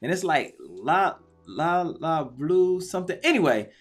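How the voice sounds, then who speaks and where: A man's voice: fairly high pitch, big pitch swings, measured pace, soft background music. Hyped, chatty man hosting a talk show. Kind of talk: casual interview chat.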